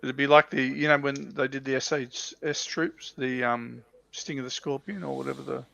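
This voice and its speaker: An Australian-accented man chatting casually as a livestream panelist, thinking aloud in a halting way. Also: medium pitch, fairly even intonation, gravelly, a bit bright, dry recording. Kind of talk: casual hobby chat. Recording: slightly dull, lo-fi audio.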